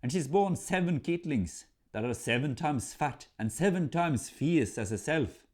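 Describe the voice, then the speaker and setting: A boastful farmer from a folk tale, acted by a man, hearty and deliberate. He sounds plain-spoken and a little sly as he projects his words. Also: fairly high pitch, expressive, darkish tone, voice pushed up. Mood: sly boasting.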